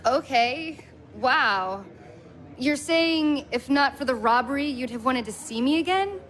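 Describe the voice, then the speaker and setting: A woman acting an anxious, clingy woman in a stage comedy-drama, earnest, insistent and quick to apologize. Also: sits high, animated, fairly bright tone. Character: anxious, clingy woman pressing a man who rejects her. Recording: live-recorded play with background noise.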